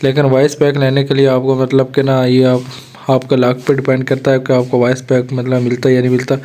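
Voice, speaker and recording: A man's voice: medium pitch, monotone, brisk, dark tone. A man with a Pakistani Urdu accent, chatting through a game tutorial as a channel host, leaning on fillers. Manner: chatty, repeats filler "matlab" often. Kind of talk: casual screen-recorded game tutorial. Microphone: voice-over on a screen recording.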